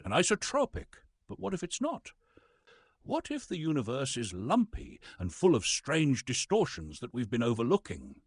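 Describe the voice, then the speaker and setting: A pompous old British stage actor played by a man reads with grand theatrical weight. His husky voice rises and falls dramatically, close and dry, with the bitterness of a classical thespian fallen on hard times. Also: medium pitch, a bit bright, no room sound, heavily acted. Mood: measured, grave. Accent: British English. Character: pompous, bitter old Shakespearean actor reduced to reading science.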